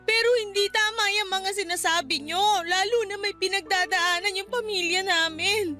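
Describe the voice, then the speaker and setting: Very high-voiced, pleading Filipino daughter. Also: female voice, steady tone, moderate pace, music in the background. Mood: tearful, protesting. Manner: plaintive, pleading. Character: hurt, pleading young adult daughter, respectful but protesting. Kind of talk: Filipino radio drama acting.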